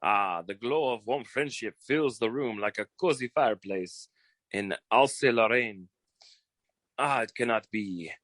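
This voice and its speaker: A pompous, effusive Frenchman for an old-time radio comedy. The put-on French accent is thick with florid, drawn-out theatrics. His voice sits at a middle pitch in a dry sound. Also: fairly bright tone, dry recording. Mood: grandly effusive. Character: flamboyant bumbling male agent posing as effusive French war hero.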